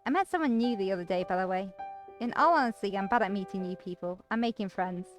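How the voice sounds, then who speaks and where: A woman's voice: mid-range voice, fast, close to an everyday voice. A woman voicing a guilt-ridden, earnest man while reading a visual novel aloud. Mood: earnest, fond. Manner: earnest, self-blaming. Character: guilt-ridden, brooding man with injured leg. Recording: music behind the voice.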